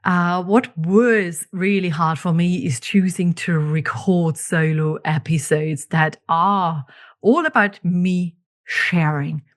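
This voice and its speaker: A woman's voice: lowish voice, slow. A woman with a German accent hosting her own podcast, talking candidly and reflectively, with frequent ums and restarts. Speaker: podcast host. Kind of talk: reflective solo podcast monologue.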